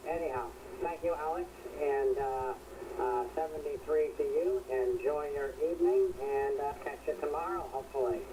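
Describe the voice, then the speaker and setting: A man running an amateur radio net, chatting politely and haltingly over a shortwave radio link. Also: a little high, very animated. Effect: shortwave radio transmission. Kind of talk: casual ham radio check-in chat. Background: radio noise.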